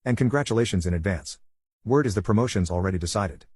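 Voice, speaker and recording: A man's voice: lowish voice, lively intonation, fast, a little mellow, husky, no room sound, clean studio sound. A man voicing an efficient, friendly female office assistant in a narrated story.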